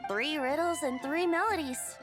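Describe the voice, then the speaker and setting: A woman's voice: high voice, normal speed, over loud music, close to an everyday voice. A woman voicing a playful, boyish wind god and wandering bard in an anime game, with a breezy, theatrical storyteller's manner. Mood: nostalgic, amused.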